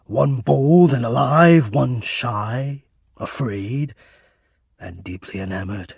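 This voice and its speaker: A man voicing a shy, devoted, lovesick home heating system for a romance video game, speaking in a halting, intimate and earnestly formal way. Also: mid-range voice, gravelly, husky, dry recording, studio quality, heavily acted. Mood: pleading, devoted.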